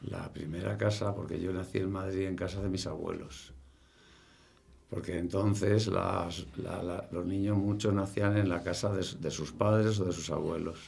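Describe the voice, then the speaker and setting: Very gravelly older Spanish man. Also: low voice, fairly even intonation, darkish tone. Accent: Castilian Spanish. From Spain. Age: early 70s. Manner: halting, repeats words as he recalls. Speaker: interviewee. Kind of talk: casual reminiscing.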